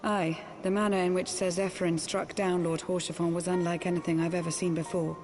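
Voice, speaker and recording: A woman's voice: lowish voice, level tone, brisk, a little mellow, faint music behind, lightly acted. An actress playing a grim, concerned female warrior in a fantasy game, speaking in formal, archaic wording. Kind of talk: scripted fantasy game dialogue. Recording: faint ambient noise.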